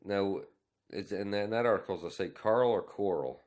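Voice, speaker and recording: A man's voice: fairly low pitch, moderate pace, a little mellow. A man co-hosting a podcast in a casual, opinionated conversation, speaking bluntly. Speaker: podcast co-host.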